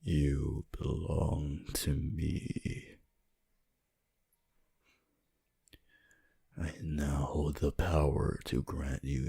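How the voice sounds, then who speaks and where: Very deep, whispery vampire lord. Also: male voice, full character voice. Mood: domineering. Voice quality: breathy, husky, often whispering. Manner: slow, theatrical, archaic phrasing. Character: possessive, seductive, menacing vampire lord. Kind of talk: breathy whispered ASMR roleplay.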